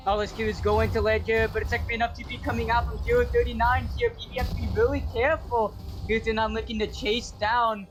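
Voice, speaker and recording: Excitable esports caster guy, real high voice, getting hoarse, noisy stream audio. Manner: excitable, rapid-fire calls. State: voice going hoarse. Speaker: play-by-play caster. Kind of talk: live esports play-by-play commentary.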